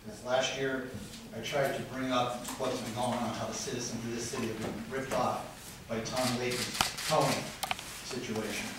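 A man's voice: medium pitch, flat delivery, moderate pace. A middle-aged man giving a heated, accusatory public comment at a council meeting, heard from far back in a large room. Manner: heated, accusatory, rising to near shouting. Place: large wood-paneled council chamber. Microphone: podium mic, recorded from far back in the room. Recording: distant, very echoey chamber, faint noise.

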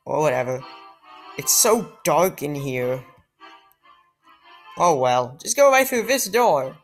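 A woman giving casual, excitable commentary while playing a video game, joking and muttering asides. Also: deep voice, slow pace. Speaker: let's play gamer. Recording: faint game music behind.

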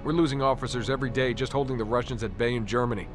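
An actor playing a firm military superior in a video game, giving orders in a clipped, formal manner. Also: male voice, medium pitch, fairly even intonation, very fast, over music, lightly acted.

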